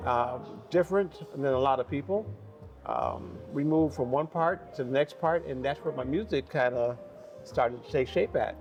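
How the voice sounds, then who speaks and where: A man in his sixties from Buffalo, New York, answering interview questions about his life in music in a reflective, unhurried way. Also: mid-range voice, creaky, darkish tone, muffled recording. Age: 60s. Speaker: guest musician. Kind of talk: interview conversation.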